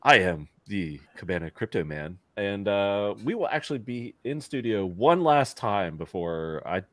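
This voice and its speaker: A man's voice: on the low side, big pitch swings, measured pace, no room sound. A man hosting a casual crypto livestream, joking with his guests and wrapping the show up. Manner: laid-back, joking, steering the wrap-up. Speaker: show host. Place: home setup, smoky wildfire haze outside.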